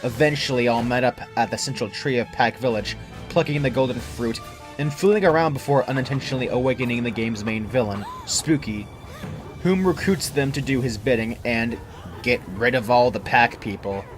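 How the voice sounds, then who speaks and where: A man's voice: mid-range voice, normal speed. A man reading a scripted first-person creepypasta story as its narrator. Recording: music under the narration.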